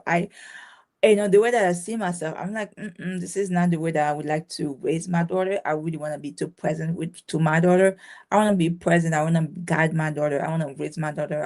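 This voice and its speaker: A woman's voice: sits low, darkish tone. A woman born in Haiti, a business owner talking casually in an interview, with many restarts and a ready laugh. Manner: chatty, many restarts and fillers, laughs easily. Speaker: guest, caregiving business owner.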